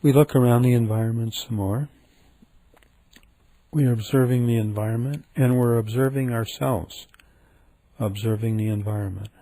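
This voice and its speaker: A man leading a guided meditation in a gentle, calm, unhurried manner with frequent pauses. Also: medium pitch, no echo.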